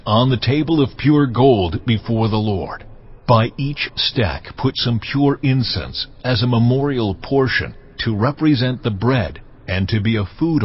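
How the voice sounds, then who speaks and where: A man playing God in a dramatized audio Bible, giving laws in a solemn, measured, commanding manner. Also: medium pitch, slightly rough. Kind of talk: dramatized scripture reading. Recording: dull lo-fi audio, music underneath.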